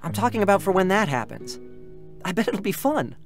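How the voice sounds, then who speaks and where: A man's voice: sits high, big pitch swings, brisk, soft background music. An actor playing an earnest, wistful young male android soldier in a dramatic reading, chatty and sentimental with boyish hesitations. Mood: hopeful. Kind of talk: dramatic scripted reading with first-person narration.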